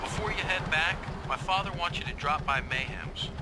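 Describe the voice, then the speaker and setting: An actor playing a direct, casual bike builder, the boss's son, in a video game. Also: male voice, a little high, moderate pace, very noisy, natural voice. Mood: matter-of-fact. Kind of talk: video game cutscene acting.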